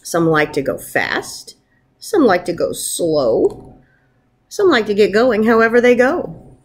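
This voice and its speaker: A woman in her thirties, a first grade teacher, talking informally to young pupils and reading aloud in an encouraging, expressive way. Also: mid-range voice, slow pace, husky. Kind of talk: warm informal message to pupils and picture-book read-aloud. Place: wood-ceilinged room at home, indoors. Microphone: webcam at arm's length.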